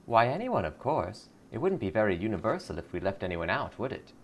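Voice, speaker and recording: A man's voice: lowish voice, big pitch swings, brisk, slight background noise. A man voicing a blissful, eager cult greeter in a video game, sweetly pious and salesy. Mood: serene, eager.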